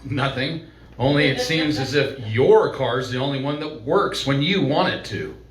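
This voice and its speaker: A man playing a guarded, composed, faintly menacing doctor, measured and formal, in old-time radio drama. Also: medium pitch, close to an everyday voice. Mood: cool, evasive. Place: live stage performance in a venue. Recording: echoey room, distant mic.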